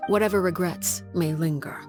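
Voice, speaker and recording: A stern, composed woman in authority, voiced by a game actress. Her voice sits low and she speaks in formal, measured phrases. Music is clearly heard behind her. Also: steady tone, natural voice. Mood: quietly wistful. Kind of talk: video game voice acting.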